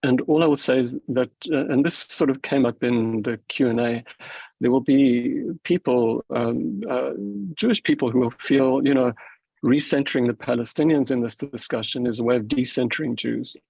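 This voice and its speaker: Gravelly, husky South African moderator. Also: male voice, medium pitch, moderate pace, darkish tone, no room sound, rough recording. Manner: hesitant, many fillers and restarts. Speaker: panel moderator. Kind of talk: academic panel remarks.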